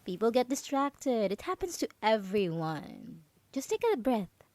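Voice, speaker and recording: A woman playing a possessive yandere roommate in an ASMR roleplay, coaxing and halting, with nervous, feigned innocence and false sweetness. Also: on the high side, big pitch swings, a little mellow, no echo. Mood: falsely soothing. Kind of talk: ASMR roleplay audio drama.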